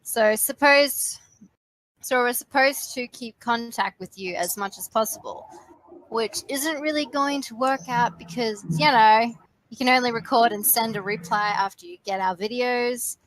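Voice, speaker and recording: A woman's voice: a little high, unhurried, a bit bright, slight background noise, low-quality recording. A woman playing a big, friendly, anxious young man who rambles hesitantly through video messages in an amateur fan fiction reading. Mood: awkward, friendly. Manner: rambling, hesitant, stumbling casual delivery.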